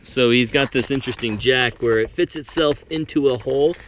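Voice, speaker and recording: A man's voice: mid-range voice, a little fast, slight background noise. A man hosting a casual vlog, giving informal, explanatory updates to the camera. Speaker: vlog host narrating an expedition.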